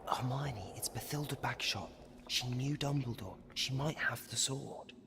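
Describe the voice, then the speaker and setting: A man's voice: mid-range voice, a little slow, background noise, natural voice. A young man playing a solemn, determined young wizard hero in a video game cutscene, earnest and a little hesitant. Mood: hushed, tentative. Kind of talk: dramatic game cutscene acting. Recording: loud music over the voice.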